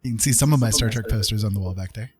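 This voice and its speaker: A man's voice: fairly low pitch, very fast, faint music behind. A man hosting a livestream in casual chat, rambling and restarting his sentences as he goes. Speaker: livestream host.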